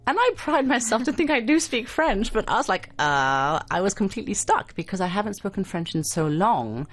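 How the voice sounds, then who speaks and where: A woman's voice: medium pitch, very animated, fairly quick. A woman speaking as a guest on a talk show, explaining patiently with frequent tag questions and restarts. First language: not Arabic. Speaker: guest expert on children's language learning. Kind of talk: radio talk show discussion.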